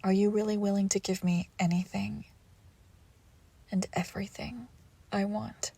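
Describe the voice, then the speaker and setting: Slow, gravelly seductive villainess, acted by a woman. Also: medium pitch, flat delivery, dark tone. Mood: wounded, longing. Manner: deliberate, breathy, drops into whispers, commanding. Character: seductive, menacing female villain crime boss, wounded ex-lover. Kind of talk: intimate scripted audio roleplay.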